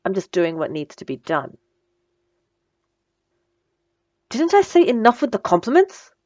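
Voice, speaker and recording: A woman playing a bossy, sarcastic supervillain with a soft spot, in a teasing, dry, conversational ASMR roleplay. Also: medium pitch, very animated, brisk, dry recording, muffled recording, close to an everyday voice. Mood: flustered, guarded. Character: female supervillain, bossy and sarcastic, secretly flustered by compliments. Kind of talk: ASMR roleplay audio drama.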